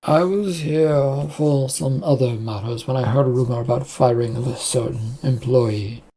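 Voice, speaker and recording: A narrator voicing an imposing, haughty, bored man in a suit for a story, with a husky voice. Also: mid-range voice, normal speed, mellow, a little hiss or hum, lightly acted, rough recording. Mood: cool.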